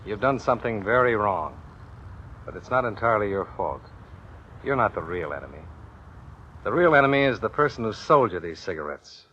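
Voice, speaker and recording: Low, forceful radio police lieutenant. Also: male voice, animated. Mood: firm, persuasive. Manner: measured, deliberate, official. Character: earnest, firm, fatherly police lieutenant questioning suspects. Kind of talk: old-time radio drama acting, police procedural. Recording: muffled old radio recording, faint noise.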